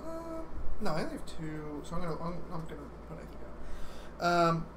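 A man's voice: on the high side, sing-song, fairly quick. A man playing a tabletop game, hesitantly talking himself through his choices in casual chat. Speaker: board game player. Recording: clearly noisy livestream room recording.

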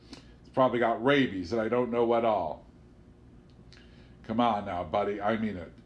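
A man's voice: mid-range voice, close to an everyday voice. A man voicing an angry, foul-mouthed rustic bully in an audiobook, speaking crudely. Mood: livid. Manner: hollering, crude, rustic ungrammatical speech. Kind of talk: audiobook character voice.

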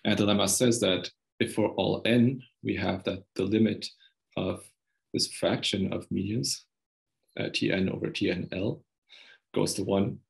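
Low-voiced young man, creaky, presenting. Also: poor audio. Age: young adult. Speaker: researcher presenting a paper. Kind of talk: technical conference talk over slides.

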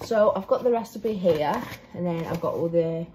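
A woman's voice: on the low side, normal speed. Young woman, cooking vlogger, casual rambling chat into a nearby phone, kitchen noise. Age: young adult. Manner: chatty, rambling, many fillers and self-corrections. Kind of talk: casual cook-along chat. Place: home kitchen, indoors. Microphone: phone camera propped close, moving around.

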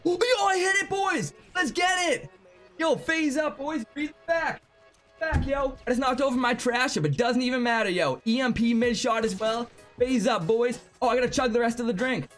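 A young man in his twenties live-streaming a game from his home setup, chatting excitably with viewers, swearing freely and going hoarse. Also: extremely high pitch, normal speed, soft background music. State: losing his voice. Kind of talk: live gaming stream chat. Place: home gaming room.

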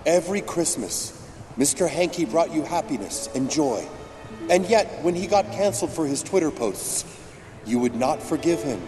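A man voicing a calm, preachy Jesus figure in a video game cutscene. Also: a little high, moderate pace, close to an everyday voice. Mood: solemn. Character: gentle, solemn Jesus figure, adult man. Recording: game cutscene, long reverb, loud music.

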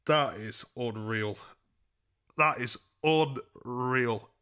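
Bright-voiced young British man, streaming. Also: medium pitch, drawn-out words. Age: 20s. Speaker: live streamer making prank calls. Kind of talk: casual livestream chat. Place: small indoor room. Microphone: desk microphone close up.

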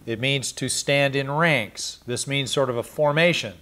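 A man's voice: mid-range voice, a little slow, pushed and bright. Middle-aged man teaching Bible study. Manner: explanatory, spells out and repeats Greek words. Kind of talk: verse-by-verse Bible study lecture. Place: small indoor studio, seated in chair. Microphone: lapel mic.

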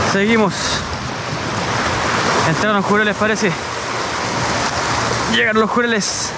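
A man with a Chilean accent chatting casually and excitedly as he fishes outdoors, using slang and repeating short phrases. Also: sits high, heavy background noise. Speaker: fishing vlogger. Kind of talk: casual vlog chat while fishing. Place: outdoors on a rocky seashore. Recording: loud music behind the outdoor voice. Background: loud music.

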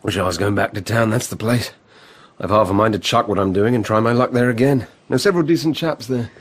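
An actor playing a cocky, feckless drinker from a genteel family in a radio drama, breezy and sneering. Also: male voice, lowish voice, fast talker, slightly rough. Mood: breezy, sour.

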